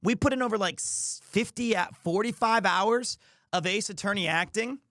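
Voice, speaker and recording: A man hosting a livestream, talking casually to his audience in a rambling, jokey, expressive way. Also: sits high, normal speed, dry recording, studio quality. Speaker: livestreamer and host. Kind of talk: casual chat to a stream audience.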